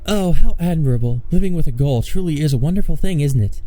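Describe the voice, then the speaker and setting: A man's voice: fairly high pitch, expressive, quick pace, dark tone, voice pushed up, close to an everyday voice. Man voicing a smug, haughty little sister. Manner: formal, smug, condescending. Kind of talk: visual novel character voice.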